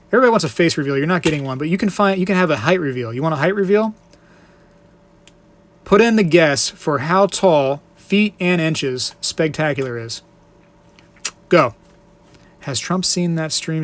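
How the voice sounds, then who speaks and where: A man hosting a casual live stream, reading viewer comments aloud and answering them in a joking, rambling way. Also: a little high. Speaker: livestream host.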